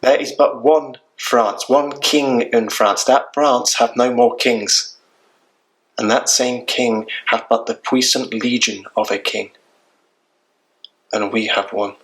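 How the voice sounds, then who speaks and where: A man playing a resolute, philosophical young warrior prince in a Shakespeare monologue, declaiming in measured, reasoned phrases. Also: mid-range voice, steady tone, a bit bright, rough recording. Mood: resolute, reasoning.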